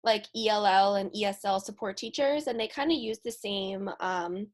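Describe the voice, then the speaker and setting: A woman's voice: mid-range voice, moderate pace. An American woman, a special education teacher, talking casually and peppering her speech with "like". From United States. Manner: speaks in a flat, even tone with frequent "like". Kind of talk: casual conversation.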